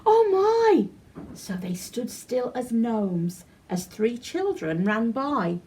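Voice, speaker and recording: Woman, high voice, children's storyteller, unhurried, expressive picture-book reading. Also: husky. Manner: animated, deliberate storytelling in rhyme. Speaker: library storyteller.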